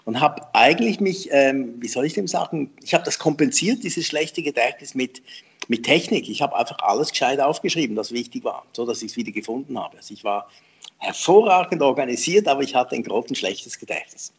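A man in his sixties with a slightly husky voice answers interview questions at an easy middle pitch. He tells anecdotes about himself with a lively, self-mocking humor and laughs often. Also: brisk. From Switzerland. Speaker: memory trainer, guest. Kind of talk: conversational storytelling interview.

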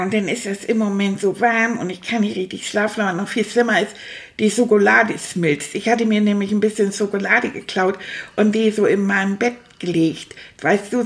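A woman voicing a boastful, childlike male toy rat who chatters excitably and impatiently to young listeners on a children's audio show. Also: medium pitch, close to an everyday voice.

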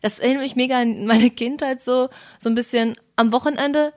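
A young woman with a cold, her voice a bit rough, chatting casually and laughing often as a podcast co-host. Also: a little high, steady tone, fairly quick. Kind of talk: casual chat.

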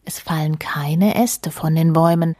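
A woman's voice: low-pitched, steady tone, normal speed, mellow, dry recording, clean studio sound. A woman voicing a male mole from a storybook, fretful, bossy and sly, with a fussy, insistent way of cautioning. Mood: demanding, self-satisfied.

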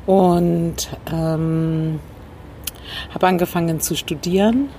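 A woman, speaking as an interview guest. She recalls her past thoughtfully, with pauses and fillers. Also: on the low side, steady tone, mellow. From Northern Ireland. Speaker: interview guest, communication trainer. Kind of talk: reflective storytelling. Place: rooftop terrace in a city, outdoors. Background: faint traffic noise, passing train.

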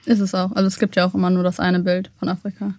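A young woman from Germany, a guest in an interview, speaking in a thoughtful, measured way with frequent pauses to find her words. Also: on the low side, monotone, a little mellow, dry recording. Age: young adult. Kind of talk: reflective interview talk.

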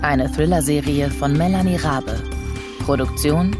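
A woman's voice: low voice, a little slow. A woman announcer reading a scripted podcast intro and promo, with music behind her. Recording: music under the voice.

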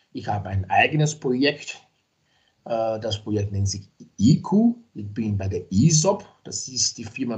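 A middle-aged man from Nigeria, a podcast guest talking casually and hesitantly, with many fillers and restarts. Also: mid-range voice. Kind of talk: casual interview talk.

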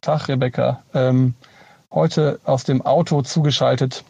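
A man's voice: mid-range voice, fairly even intonation, a little slow, creaky, dark tone, husky, dry recording, dull audio. A man giving an informal, explanatory spoken voice message from inside a car.

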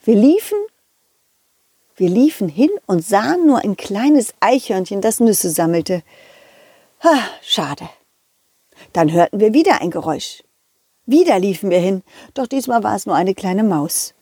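A woman telling a children's story as an animated narrator. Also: medium pitch, measured pace, pauses often, husky, no echo, studio quality. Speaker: storyteller and puppeteer.

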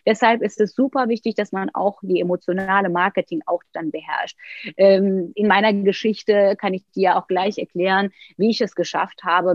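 Lively woman in her forties. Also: mid-range voice, no echo. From Turkey. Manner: lively storytelling, many fillers and restarts. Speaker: interview guest, coach. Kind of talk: casual interview talk. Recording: dull, dry sound.

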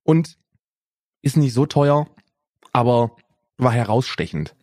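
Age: young adult. Role: podcast co-host. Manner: rambling casual chat, lots of "ne?" and "bro", middle pitch. Sound: dry, close mic with no room at all. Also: male voice, lively intonation, slow, mellow, no room sound. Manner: rambling, tags lines with "ne?" and "Bruder".

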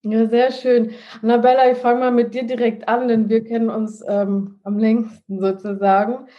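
A woman's voice: on the high side, level tone, normal speed, highs cut off. A woman interviewing a guest, asking friendly questions with many fillers.

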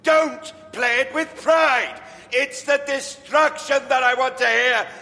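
A man's voice: very high voice, slow, vocal fry, bright, forceful tone, full character voice. An actor playing a harsh, domineering theatre director, scornful and theatrical as he berates his cast. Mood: furious, scornful. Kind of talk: scripted audio drama. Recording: reverberant hall, theatre stage acoustic.

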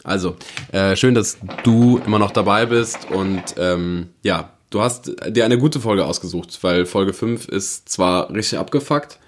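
A young man with a lowish voice co-hosts a podcast, talking loosely. He rambles through a travel story with plenty of fillers and restarts. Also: measured pace, a little hiss or hum. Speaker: podcast co-host. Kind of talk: casual chat and storytelling.

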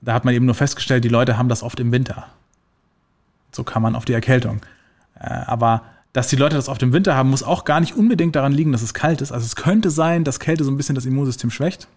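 A man's voice: mid-range voice, quick pace. Chatty man explaining science casually. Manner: relaxed, many fillers, explains with everyday examples.

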